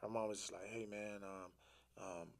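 A man's voice: fairly low pitch, little pitch movement, measured pace. A man talking casually as a podcast guest, telling a personal story in informal, slangy, sometimes profane language, acting out quoted dialogue and repeating phrases for emphasis.

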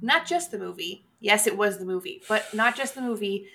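A woman's voice: mid-range voice, expressive, brisk, bright, forceful tone, slight background noise. A woman co-hosting a podcast in casual chat, talking in a rambling, self-aware way that slips easily into tangents. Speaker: podcast co-host. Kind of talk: casual chat about horror movies.

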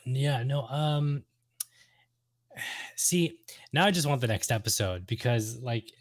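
A man's voice: mid-range voice, lively intonation, slow. A man co-hosting a casual chat show, informal and agreeable, often repeating short phrases. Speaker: co-host.

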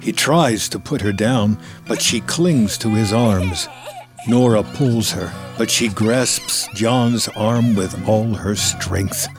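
Slow, low-voiced male narrator. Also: music in the background. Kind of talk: scripted screenplay narration.

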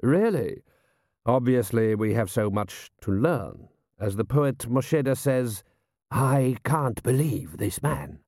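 A man voicing a suave, mocking desert prince with a drawn-out, mock-polite manner in an audiobook. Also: medium pitch, lively intonation, darkish tone, dry recording, studio quality.

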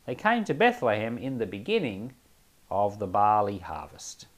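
A man speaking as a pastor, reading scripture aloud and explaining it informally, with expressive and emphatic phrasing. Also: fairly low pitch, moderate pace.

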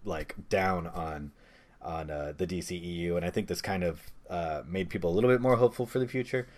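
Guy with a deep voice hosting a movie podcast, casual opinions n jokey asides. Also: a little fast. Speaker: podcast host.

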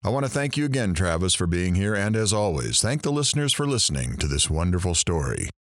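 A man plays a cocky radio shock jock in an audio drama. His low voice swings widely in pitch as he delivers crude, sarcastic banter like a showman. The sound is dry and close. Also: very animated, fast talker, no echo. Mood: wrapping up. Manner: showy, sarcastic, innuendo-laden banter. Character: brash, crude, wisecracking male radio talk show host.

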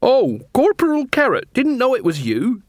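A man's voice: very high-pitched, huge pitch swings, normal speed, dry recording, clean studio sound, voice pushed up, exaggerated voice. A man voicing a bossy, whining old beggar queen with rustic, ungrammatical speech in a story. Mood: scolding, surprised.

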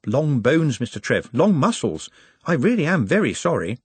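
A man's voice: medium pitch, very animated, normal speed, breathy edge, no echo, voice pushed up. A man voicing a skinny young male goblin who speaks in a formal, deferential, apologetic and earnest way for a storybook.

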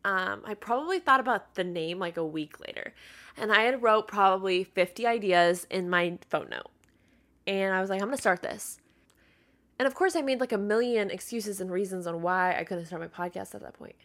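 A woman's voice: medium pitch, moderate pace, fairly bright tone. A young woman in her twenties hosting her own podcast in casual chat, sounding congested and nasal from being sick, filling her talk with "like". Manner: chatty, fills with "like". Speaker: podcast host.

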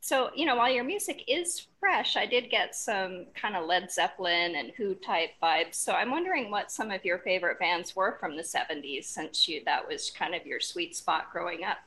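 A woman's voice: on the low side, expressive, normal speed, hardly any pauses, sharp tone, poor audio. A woman hosting an interview in a conversational style. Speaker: host. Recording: noticeable background noise.

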